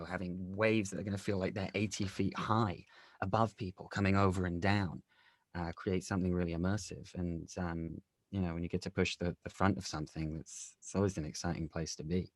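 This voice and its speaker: A man speaking casually and reflectively as an interview guest, halting in his phrasing but enthusiastic. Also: low-pitched, dry recording, studio quality. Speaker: audio drama co-director, interview guest.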